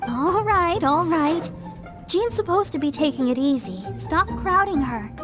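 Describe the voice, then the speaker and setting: Casting: energetic young female game character, caring and assertive, high voice over music. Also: a little slow. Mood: bossy. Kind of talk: video game voice acting.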